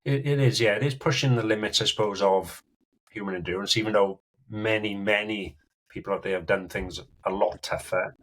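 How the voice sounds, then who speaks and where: A man's voice: fairly low pitch, a little fast, fairly bright tone. A middle-aged man with a Welsh accent, a podcast guest telling his story in loose, chatty talk full of "you know"s. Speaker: guest endurance athlete. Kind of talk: casual podcast chat.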